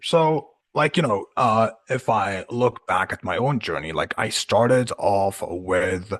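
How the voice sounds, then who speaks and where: A man from Punjab with Urdu as his first language and a very low voice. He speaks in a relaxed, easygoing way, filling his sentences with "you know" and "like" as he shares tips with a class. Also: takes it slowly. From Punjab, Pakistan. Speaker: guest speaker. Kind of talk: online class talk.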